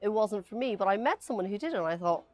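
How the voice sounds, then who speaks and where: A woman's voice: medium pitch, expressive, quick pace, dry recording. A woman who writes and illustrates children's books, talking chattily and correcting herself as she goes. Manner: chatty and self-correcting, with laughs. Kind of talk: casual interview talk.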